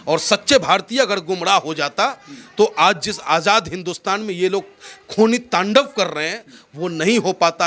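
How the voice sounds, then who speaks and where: A man's voice: high voice, pushed and bright. A man from India with a North Indian accent, speaking in an impassioned, oratorical way as a political interviewee, emphatic and repeating phrases for effect.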